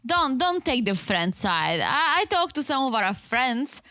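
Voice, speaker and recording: A woman co-hosting a podcast about a reality show, chatting casually and acting out quotes in an animated way. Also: high-pitched, measured pace, dry recording. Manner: animated, acts out quotes from the show, frequent restarts. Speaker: podcast co-host.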